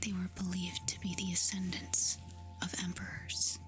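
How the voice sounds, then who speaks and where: A woman doing soft-spoken ASMR, reading facts aloud in a hushed, soothing, breathy whisper. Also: fairly low pitch, little pitch movement. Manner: slow, hushed, soothing delivery with short phrases. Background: music.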